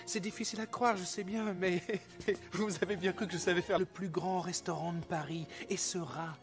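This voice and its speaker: Nervous young male cook, animated film: high-pitched, hesitant, sincere, clear music under the voice. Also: normal speed. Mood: earnest pleading. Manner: hesitant, stammering, earnest.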